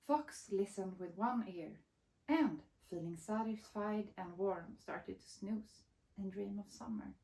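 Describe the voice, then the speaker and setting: A woman, reading a picture book aloud as expressive storybook narration. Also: medium pitch, slow pace, creaky, echoey room, rough recording. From Sweden. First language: Swedish.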